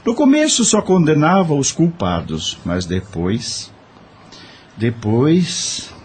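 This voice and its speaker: A man's voice: fairly high pitch, sing-song, slow pace, darkish tone, slight background noise. An actor playing a once-proud corrupt judge in an audio drama, confessing his misdeeds with remorse in a dramatic, sighing manner. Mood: remorseful, anguished.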